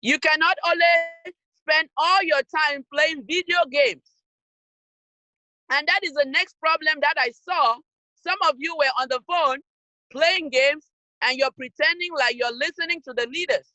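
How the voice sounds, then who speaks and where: A woman speaks high and bright straight to young listeners. She presses each point with firm, scolding emphasis. The sound is close and dry, coming through a video-call mic. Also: a little slow, no echo, lo-fi sound. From Cameroon. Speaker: youth program speaker. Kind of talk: motivational talk to young people.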